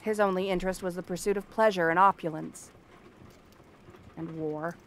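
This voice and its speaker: Casting: female actor as cursed princess turned frog girl, cranky and theatrical, sharp-tongued but secretly kind, ASMR fantasy roleplay. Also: on the low side, fairly even intonation, normal speed, slight background noise, natural voice. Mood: wry storytelling. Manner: haughty, sardonic, theatrical tsundere delivery.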